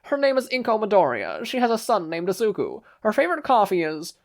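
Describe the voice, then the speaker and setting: A storybook spy with a French accent , acted out by a man. He reports in a raised, high voice with a smug informant's manner. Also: big pitch swings, a little fast, creaky, exaggerated voice. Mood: eager, reporting.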